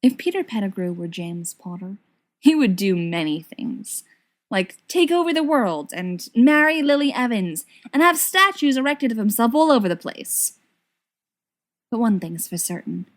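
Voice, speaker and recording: A woman reading a story aloud as an expressive scripted narration. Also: a little high, normal speed. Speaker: audiobook reader of fan fiction.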